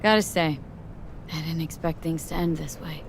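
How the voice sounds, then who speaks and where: An actress playing a tough, weary mercenary who speaks in a terse, dry, streetwise way. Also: female voice, sits low, moderate pace, noisy background. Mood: wry. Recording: game audio with music behind. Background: music.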